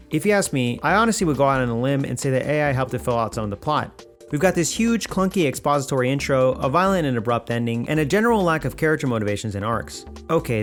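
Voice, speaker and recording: A man narrating a scripted video essay of film criticism in a measured, conversational, opinionated way. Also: medium pitch. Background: background music.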